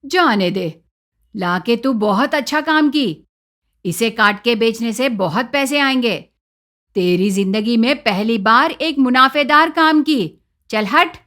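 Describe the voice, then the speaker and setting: A woman's voice: a little high, lively intonation, slow, a bit bright, pitched below the performer's own voice. A woman voicing a cruel, greedy older mother-in-law who speaks in a harsh, sneering, threatening way in a moral story. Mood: greedy, bossy.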